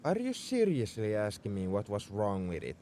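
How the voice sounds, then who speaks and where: A man's voice: lowish voice, wildly swooping pitch, normal speed, mellow, a little hiss or hum. A man playing a tsundere mech engineer in a roleplay audio drama, scolding and sarcastic with worry underneath. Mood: sarcastic, incredulous. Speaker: voice actor.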